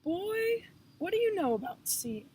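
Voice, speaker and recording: A woman plays a wise storybook grandmother, gently scolding and encouraging. Her voice is high and her delivery folksy and emphatic, with some background noise behind it. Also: big pitch swings, measured pace, heavily acted. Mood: firm, wise. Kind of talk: children's picture book read-aloud.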